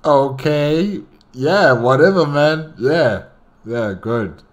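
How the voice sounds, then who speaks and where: A man's voice: fairly high pitch, expressive, very slow, faint music behind. A man hosting a solo reaction, commenting casually and informally and often repeating himself. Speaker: reaction video host.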